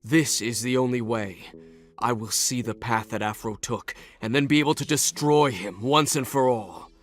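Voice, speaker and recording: A man's voice: mid-range voice, normal speed, lightly acted. Man acting a grim, vengeful warrior. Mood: grim resolve. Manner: grave, terse, determined. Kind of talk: video game cutscene acting.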